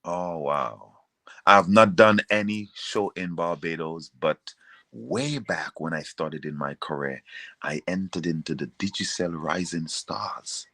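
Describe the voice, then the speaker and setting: Very deep-voiced man. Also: a little slow, sharp tone, dry recording. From Caribbean, outside Jamaica. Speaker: reggae singer being interviewed. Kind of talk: casual interview, recounting a career.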